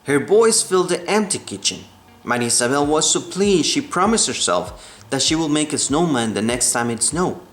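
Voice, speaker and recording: A man reading a children's book aloud in a measured way, stumbling now and then. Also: fairly high pitch, very animated, fairly bright tone. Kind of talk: storybook read-aloud. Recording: roomy echo, music behind the voice.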